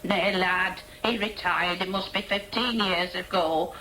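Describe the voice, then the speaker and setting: A woman's voice: lowish voice, steady tone, a little slow, sharp tone, slight background noise, rough recording. An actress playing a chatty, blunt older Yorkshire woman, a homely and emphatic pub regular in a radio drama. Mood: gossipy.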